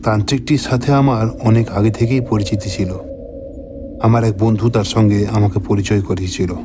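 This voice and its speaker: A man narrating a scripted horror story in the first person, in a measured, dramatic manner. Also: fairly low pitch, steady tone, music in the background.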